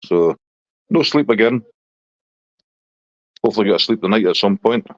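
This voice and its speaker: A man's voice: on the low side, lively intonation, moderate pace, no room sound, dull audio. A middle-aged man from Scotland with a Scottish accent, chatting casually on a live stream and answering viewers' comments.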